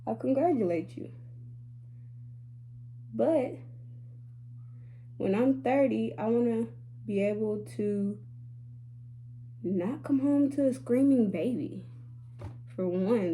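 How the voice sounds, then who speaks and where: A woman in her late twenties chats openly with her audience in a relaxed vlog. Her voice is mellow and warm, her delivery sassy and confiding. A faint hum sits behind her. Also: mid-range voice. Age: 20s. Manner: chatty, candid and sassy, addressing viewers as y'all. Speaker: beauty vlogger. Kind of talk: casual chatty vlog talk.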